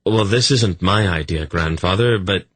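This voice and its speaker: A man's voice: deep voice, moderate pace, fairly bright tone, husky, dry recording, pitched above the performer's own voice. A man voicing a young male hero who sounds dismayed and reluctant in an audiobook.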